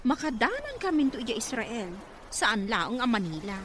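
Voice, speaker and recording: A woman's voice: on the high side, huge pitch swings, normal speed, background noise. A woman acting an eager young graduate in an Ilocano drama, speaking with an Ilocano accent. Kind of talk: amateur Ilocano radio-style drama acting.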